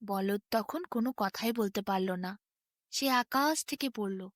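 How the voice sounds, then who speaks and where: A woman's voice: mid-range voice, a little slow, dry recording, studio quality. A woman storyteller narrating a bedtime tale expressively, in dramatic short phrases.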